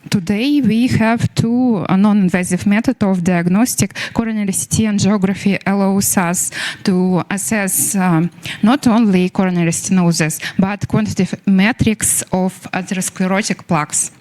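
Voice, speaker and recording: A woman researcher, giving a prepared scientific talk in halting English with frequent self-corrections. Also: medium pitch, steady tone, darkish tone. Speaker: young medical researcher presenting at a contest.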